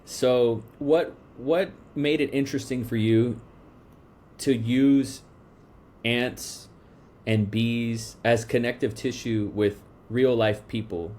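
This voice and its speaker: Slow, halting podcast host, a man. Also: medium pitch, fairly even intonation, a little mellow. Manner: halting, many restarts and fillers. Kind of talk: casual interview.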